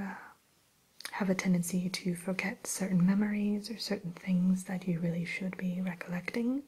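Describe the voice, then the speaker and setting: Woman playing a breathy, whispering evil queen shopkeeper. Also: mid-range voice, darkish tone, low-quality recording. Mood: inviting, confiding. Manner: hesitant, coy, genteel salesperson phrasing. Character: refined, sly evil queen running an enchanted mirror shop. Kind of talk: whispered ASMR roleplay.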